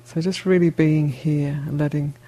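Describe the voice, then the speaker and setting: A woman teaching a guided meditation, speaking gently and unhurriedly in short phrases with long pauses between them. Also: low voice, fairly even intonation, low-quality recording.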